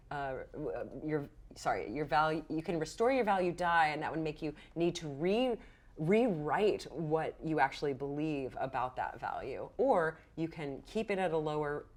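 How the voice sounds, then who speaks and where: A woman narrating as game master of a roleplaying game, casual and encouraging in an improvised, conversational way. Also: low voice.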